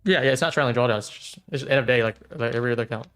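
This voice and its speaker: A man's voice: mid-range voice, racing, fairly bright tone, faint music behind. A man in his thirties chatting casually on a livestream while trading, stammering and repeating words, laughing often. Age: 30s. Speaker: streamer trading futures live.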